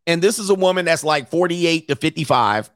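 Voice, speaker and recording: A man's voice: a little high, expressive, a little fast, a bit bright, no room sound, clean studio sound. A man in his forties hosting mocking reaction commentary, brash and slangy, laughing often. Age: 40s. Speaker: commentary host.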